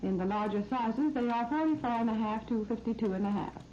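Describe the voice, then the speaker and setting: A woman's voice: on the high side, brisk, dark tone. An American woman presenting scripted 1930s radio shopping advertising in a polished, promotional manner. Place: radio studio. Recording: muffled, noisy 1939 radio disc recording.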